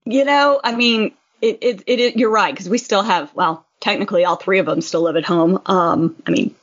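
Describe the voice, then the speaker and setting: A woman's voice: mid-range voice, moderate pace, dull audio. A middle-aged woman co-hosting a podcast in casual, conversational chat. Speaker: podcast co-host.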